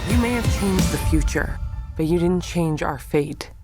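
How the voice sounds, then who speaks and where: Urgent young warrior woman; animated delivery over heavy trailer score. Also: on the low side, a little slow. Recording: trailer audio under loud music.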